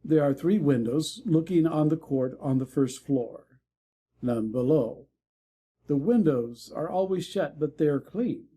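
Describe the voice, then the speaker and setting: Man acting a frank young gentleman, slightly husky. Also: fairly high pitch, measured pace. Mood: dry, conversational. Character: frank, worldly young gentleman, reticent about gossip. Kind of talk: dramatic audiobook reading.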